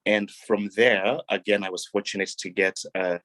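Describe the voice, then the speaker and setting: Deep-voiced young man from Kenya. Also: normal speed, no echo. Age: young adult. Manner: relaxed and reflective, with frequent ums and restarts. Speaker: guest. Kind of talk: casual interview talk.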